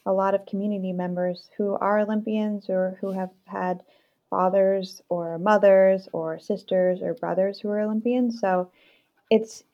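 A woman in her twenties from Vermont, answering interview questions as an athlete guest in a steady, articulate way. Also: medium pitch. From Vermont, United States. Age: 20s. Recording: lo-fi remote call line.

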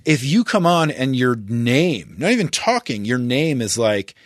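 A man's voice: medium pitch, big pitch swings, measured pace, no room sound. Profane, emphatic man chatting casually. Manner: profane, emphatic, seeks agreement with "right?". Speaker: podcast guest. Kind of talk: casual chat.

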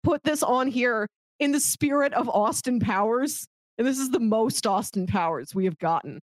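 A woman with a fairly high voice and very lively, swooping intonation, recorded close and dry. She talks as a podcast co-host in a casual, animated storytelling style. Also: moderate pace, dry recording. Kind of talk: casual movie podcast chat.

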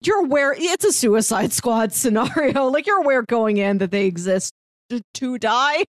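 A woman's voice: fairly high pitch, animated, normal speed, no echo, clean studio sound. A woman co-hosting a podcast, chatting casually about films and telling anecdotes. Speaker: podcast co-host.

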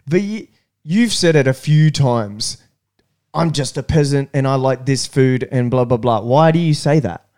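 A man from Brazil hosting a casual podcast at a mid-range pitch. He talks loosely and slangily, swears freely and drops "bro" and "eh" into his sentences. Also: a little slow, darkish tone. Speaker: podcast host.

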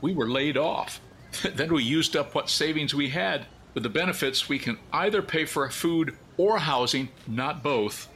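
A man's voice: fairly high pitch, lively intonation, unhurried, bright, forceful tone, slight background noise, exaggerated voice. A man playing a casual, wry homeless park bystander in an audio drama. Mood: wry, amused.

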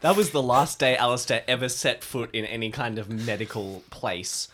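A man's voice: mid-range voice, steady tone, normal speed, fairly bright tone. A man playing in an improvised audio role-play, chatting casually as he describes and speaks for his character.

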